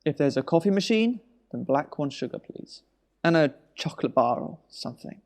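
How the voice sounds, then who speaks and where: Anxious husband, fairly high voice, wry, hesitant, weary, naturalistic radio play. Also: male voice, expressive, moderate pace, a little mellow. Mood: weary, grumbling. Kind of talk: naturalistic audio drama acting.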